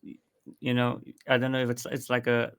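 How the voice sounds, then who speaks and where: Flat-toned man talking audio. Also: medium pitch, brisk, smooth. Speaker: audio editor. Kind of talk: interview guest answers.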